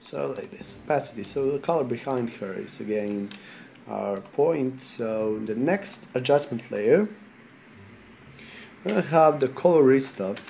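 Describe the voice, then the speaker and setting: A man from Serbia with a Serbian accent, sick, talking through a software tutorial in halting non-native English while he clicks. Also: medium pitch, gravelly. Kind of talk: screen-recorded software tutorial.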